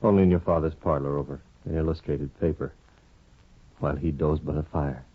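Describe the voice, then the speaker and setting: An actor playing an intense, wounded former lover in impassioned, halting 1950s radio drama style. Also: male voice, very deep voice, steady tone, breathy edge. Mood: intense, hurt. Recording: muffled old radio broadcast.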